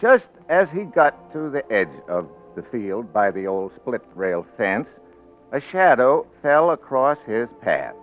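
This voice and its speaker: An actor playing a rough, plain-spoken politician and awkward husband in a 1940s radio drama, with an American accent and colloquial, gruff speech. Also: male voice, mid-range voice, big pitch swings, unhurried. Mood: folksy storytelling. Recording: tinny old radio recording with music.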